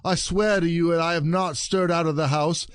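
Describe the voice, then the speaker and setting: A man acts out a wronged husband in a classic comedy audio play. His fairly high voice is theatrical, indignant and exasperated, forever protesting and pleading. The sound is dry, with no room around it. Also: fairly even intonation, moderate pace, smooth, no room sound, natural voice.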